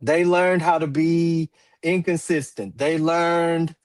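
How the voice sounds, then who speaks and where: A man in his forties hosts a roundtable discussion at an unhurried, deliberate pace. His voice sits a little high for a man and is recorded close and dry. He pauses often and restarts his sentences. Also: steady tone, no room sound. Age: 40s. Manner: measured, many fillers and restarts, clears throat. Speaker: talk show host.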